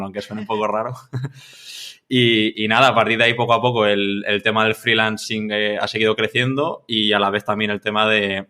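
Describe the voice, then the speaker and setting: A man's voice: lowish voice, pushed and bright. A man from Mallorca with a Spanish accent, answering casually as a podcast guest, rambling with repeated words and tag questions. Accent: Spain. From Mallorca, Spain. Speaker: podcast guest, freelance content creator. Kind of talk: casual conversational interview answers.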